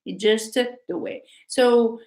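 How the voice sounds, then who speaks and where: A woman's voice: on the high side, takes it slowly, creaky, dark tone. Woman teaching live, lots of ums and restarts, roomy echo. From North Africa. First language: Arabic. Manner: hesitant, many fillers and restarts. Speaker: Arabic teacher. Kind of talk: casual live talk.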